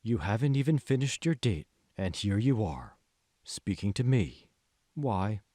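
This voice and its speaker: A man voicing a smug, manipulative AI assistant in an audiobook, speaking slowly with cool, condescending control. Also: fairly low pitch, sing-song, warm tone, no room sound, studio quality. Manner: slow, condescending, coolly controlling. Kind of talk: audiobook character voice.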